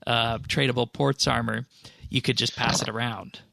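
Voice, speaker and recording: A man's voice: mid-range voice, flat delivery, normal speed, gravelly, sharp tone, dry recording. A man hosting a casual podcast discussion, talking things through as he thinks aloud. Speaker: podcast host.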